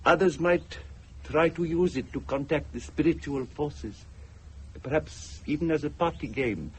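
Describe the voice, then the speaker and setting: An actor playing a mysterious male fortune teller in a radio drama, formal, measured and probing, with a husky voice. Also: on the high side, exaggerated voice. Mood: polite, ominous.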